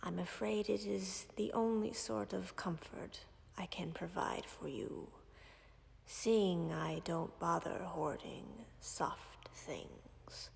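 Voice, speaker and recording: Slow husky-whisper dragon lady, a woman doing her, gravelly and a bit low, dry and commanding, audio roleplay. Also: steady tone, big-room sound, exaggerated voice. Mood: calm. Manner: measured, formal, dryly commanding.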